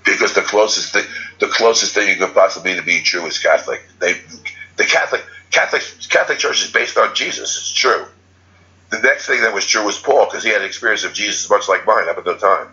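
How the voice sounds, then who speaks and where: A man's voice: fairly low pitch, lively intonation, fairly quick, sharp tone, dull audio. A man giving an unscripted spiritual talk as a teacher, rambling and correcting himself as he goes. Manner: rambling, restarts and self-corrections.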